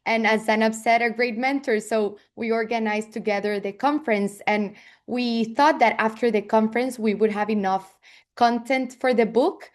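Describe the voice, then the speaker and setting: Woman from El Salvador, slightly high voice, plain direct delivery. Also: fairly even intonation, moderate pace. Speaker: guest author. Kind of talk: brief self-introduction.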